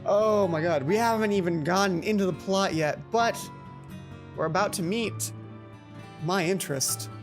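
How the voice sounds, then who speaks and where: An excitable, informal video game commentator who often breaks off and interrupts their own thoughts while narrating a playthrough. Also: mid-range voice, normal speed, soft background music. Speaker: let's play host. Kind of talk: game commentary and read-aloud of a visual novel.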